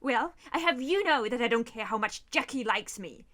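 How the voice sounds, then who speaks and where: A woman's voice: on the high side, expressive, normal speed, a bit bright. A woman playing an obsessive, possessive and volatile lover with sweet menace in an audio horror drama. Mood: angry, accusing.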